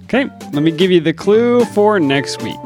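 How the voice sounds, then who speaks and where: A man with a Virginia accent co-hosting a science podcast, with a wry, deadpan humor. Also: sits high, moderate pace, music in the background. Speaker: podcast co-host. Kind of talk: casual chat, reading a puzzle clue.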